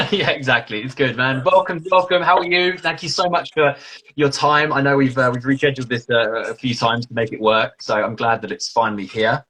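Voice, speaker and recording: A man's voice: mid-range voice, a little fast, sharp tone. A man hosting a live video chat, upbeat and friendly. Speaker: host. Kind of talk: casual live-stream chat.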